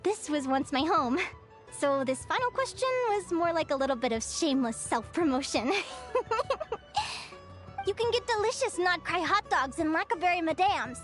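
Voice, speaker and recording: A woman's voice: extremely high pitch, lively intonation, moderate pace, a bit bright, faint music behind. A woman voicing a bubbly, curious young female guild advisor in a video game, with a light girlish voice and a chirpy, gushing manner. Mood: cheerful, lecturing.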